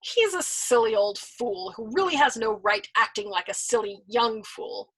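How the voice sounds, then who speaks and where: A woman voicing a shameless, worldly old man over a hundred, teasing and smug, in a breathy voice, as she reads a storybook aloud. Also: a little high, very animated, normal speed, a bit bright, exaggerated voice. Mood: mocking.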